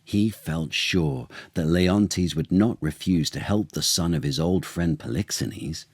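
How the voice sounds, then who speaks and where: Male actor for children's storytime: deep register, unhurried theatrical delivery, very dry sound. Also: a little mellow, slightly rough, dry recording. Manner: theatrical, unhurried, with dramatic pauses. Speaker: actor reading aloud as storyteller. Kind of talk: expressive children's storytime narration of a literary tale.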